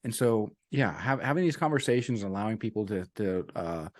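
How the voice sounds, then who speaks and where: A man's voice: lowish voice, lively intonation, brisk, dry recording. A man talking as a coach guest in a casual interview, earnest, repeating his key sayings and dropping "man" and "you know" as he goes. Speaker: business and life coach guest.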